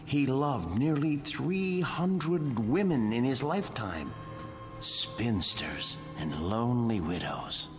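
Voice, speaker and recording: Slow, brooding wax museum curator. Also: male voice, medium pitch, expressive. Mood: macabre, theatrical. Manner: theatrical, precise, polite. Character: devoted, brooding middle-aged male wax museum curator, deferential and intense. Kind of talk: audio drama acting. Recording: dramatic music underneath.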